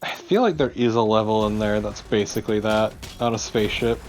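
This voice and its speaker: A man's voice: fairly low pitch, brisk, a little hiss or hum. Wry man bantering over video game. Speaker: let's play co-commentator. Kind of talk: casual banter over gameplay.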